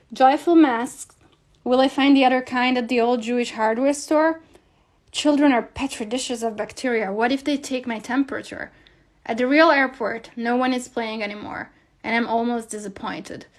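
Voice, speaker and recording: A woman reading a scripted first-person literary piece aloud as narration. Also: fairly high pitch. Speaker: reader of a literary text. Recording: clearly noisy recording.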